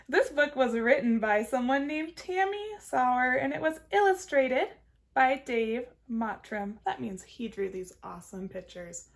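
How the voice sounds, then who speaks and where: A woman kindergarten teacher reading aloud to young children, expressive and encouraging, stopping to ask simple questions. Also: high voice, vocal fry.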